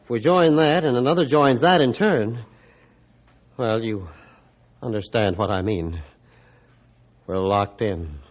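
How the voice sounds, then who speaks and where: A man playing a middle-aged ship's captain, measured, formal and authoritative, in a 1950s radio drama. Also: medium pitch, natural voice. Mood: grave. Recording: dull 1950s radio recording.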